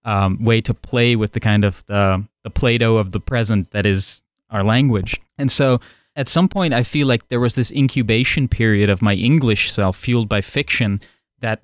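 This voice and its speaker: A man whose first language is Dutch muses playfully in casual conversation. His voice is smooth and resonant, recorded dry and close. He laughs easily between his thoughts. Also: lowish voice, normal speed, no echo. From Netherlands. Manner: playful, musing, laughs often. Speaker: podcast guest. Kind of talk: casual roundtable chat.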